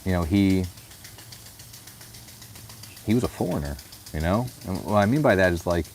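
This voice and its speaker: A man talking in a personal vlog, thoughtful and unhurried, often adding "you know". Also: sits low, expressive, darkish tone, a little hiss or hum. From United States. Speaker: vlogger. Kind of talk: reflective personal vlog talk.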